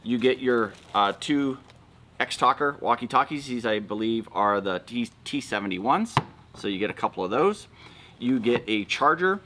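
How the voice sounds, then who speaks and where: A man's voice: mid-range voice, animated, normal speed, sharp tone, slight background noise. A man giving casual, matter-of-fact unboxing commentary, explaining things as a vlog co-host.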